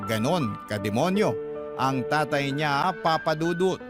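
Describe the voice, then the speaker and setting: A man's voice: fairly high pitch, lively intonation, measured pace. A man narrating a sad confessional letter as a sympathetic storyteller in a Filipino radio drama, with a Filipino accent. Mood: somber, sympathetic. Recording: prominent music bed under narration.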